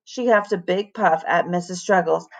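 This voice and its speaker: A woman, a teacher, reading a children's story aloud with dramatic, deliberate expression. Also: lowish voice.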